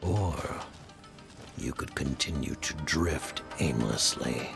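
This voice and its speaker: An actor playing an ominous, commanding villain with a solemn, formal manner in a video game. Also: male voice, very deep voice, lively intonation, slow, creaky, full character voice. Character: ominous commanding male villain, grave and grandiose. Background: loud music.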